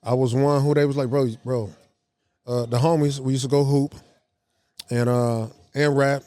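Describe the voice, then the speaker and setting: A man's voice: mid-range voice, flat delivery, moderate pace, warm tone. A forty-year-old man, a guest on a podcast, talking in an earnest, reflective, slangy way. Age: 40s. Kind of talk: casual podcast conversation. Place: cigar lounge.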